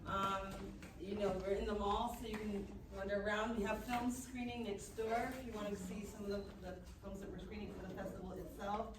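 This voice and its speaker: A woman's voice: mid-range voice, fairly even intonation, brisk, hardly any pauses. A woman hosting a live event, making casual announcements and thanking the audience. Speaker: event host. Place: echoey event room in a mall. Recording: echoey room, distant mic, noisy.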